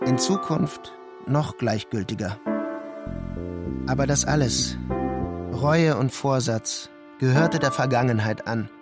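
A man reading scripted literary narration for a radio play in a measured, deliberate way. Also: mid-range voice. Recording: prominent music under the voice. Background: music.

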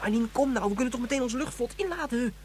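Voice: mid-range voice, lively intonation, fast talker, darkish tone, lightly acted. A performer playing an impatient, outspoken young boy in a Dutch science fiction radio drama, with faint noise. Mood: impatient, eager. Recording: slightly noisy radio play recording.

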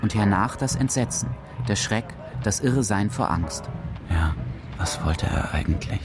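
A man with a fairly low voice narrates a radio drama in a literary style. Faint music plays behind him. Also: expressive, a little slow.

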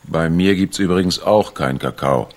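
A country storekeeper in a radio drama, a man with a very low voice. He is laconic and gruff, yet obliging in an offhand way. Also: moderate pace, darkish tone. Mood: gruff, indifferent. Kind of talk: radio drama acting in German.

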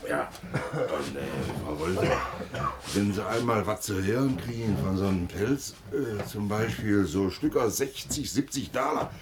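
Haggling Brandenburg boatman with lively pitch. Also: unhurried, background noise. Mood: conceding. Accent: Berlin-Brandenburg dialect. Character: haggling, grumbling boatman, stingy and eager. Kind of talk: German radio play dialect comedy acting.

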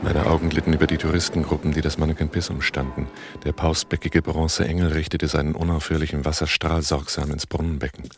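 An actor playing a cool, cautious arms smuggler on the run in a radio drama, with clipped, controlled delivery. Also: male voice, very deep voice, little pitch movement, quick pace, hardly any pauses, noisy background. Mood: brooding, controlled. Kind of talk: German radio drama dialogue and first-person narration.

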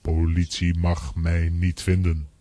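A man playing an odd, secretive fugitive in a children's audio drama, terse and monotone, in clipped phrases. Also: low-pitched, slow. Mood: grave, secretive.

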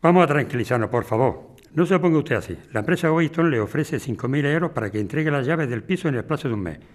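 A man with an accent from Spain playing a smooth, unyielding property company agent in an audio drama, formal, measured and condescending. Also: mid-range voice, expressive, close to an everyday voice. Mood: smooth persuasion.

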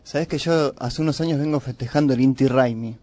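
An Argentine man co-hosting a radio show in a studio, chatting casually with frequent hesitant pauses. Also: medium pitch, darkish tone. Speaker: radio co-host.

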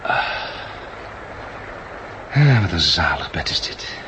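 A man's voice: low voice, sing-song, takes it slowly, heavily acted. An actor playing a practical, reassuring, decisive man in a 1960s Dutch radio play, on a noisy recording. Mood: reassuring, tired.

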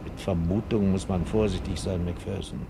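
A sardonic investigator in a radio crime play. His voice is fairly low, measured and dryly pointed, with clear noise behind it. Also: steady tone. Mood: dry, probing. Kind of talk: 1970s German radio crime drama.

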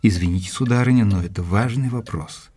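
Gentleman investigator, mellow, slightly husky, measured, polite, formal, audio drama with music behind. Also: on the low side. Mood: polite, probing. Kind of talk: dramatized audiobook narration and acting.

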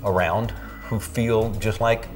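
An American man speaking as an expert interviewee, giving measured commentary in English. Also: on the low side, steady tone, soft background music.

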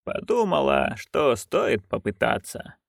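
A storyteller voicing a stubborn old witch woman who speaks curtly, slowly and quietly. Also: medium pitch, creaky, no room sound, studio quality, full character voice. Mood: stern. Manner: curt, flat, slow and quiet.

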